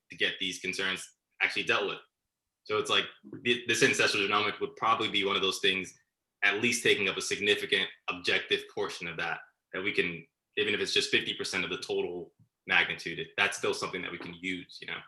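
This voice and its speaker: A man leads a journal club discussion over a video call. His voice is low and bright, and his speech is quick and full of fillers. The room around him sounds echoey. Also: flat delivery. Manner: fast, filler-laden, keeps asking "right?". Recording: roomy video call audio.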